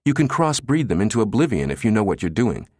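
A man's voice: fairly low pitch, very animated, quick pace, a little mellow, husky, no echo, clean studio sound. A man voicing a crude, insolent computer systems chief in an audiobook, brash and sardonic, spitting out his words. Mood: grimly sardonic. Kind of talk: audiobook character dialogue.

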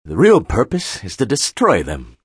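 An actor playing a commanding, cryptic magician-tycoon with a husky voice and emphatic, deliberate delivery in audiobook dialogue. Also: male voice, mid-range voice, huge pitch swings, dry recording, studio quality, heavily acted.

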